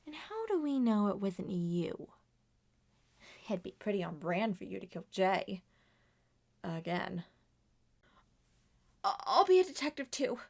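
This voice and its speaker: A woman voicing many characters in an ASMR roleplay, speaking softly and often dropping to a whisper. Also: medium pitch, very animated, unhurried, creaky, long pauses. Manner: soft-spoken, drifts between whispers and normal voice.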